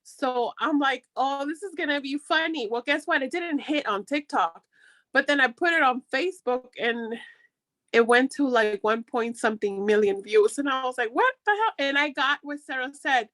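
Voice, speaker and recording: Chatty woman with a bright, dry voice. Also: high-pitched, expressive, normal speed, no echo. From Mexico. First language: Spanish. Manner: chatty and encouraging, with frequent restarts. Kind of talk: casual workshop chat.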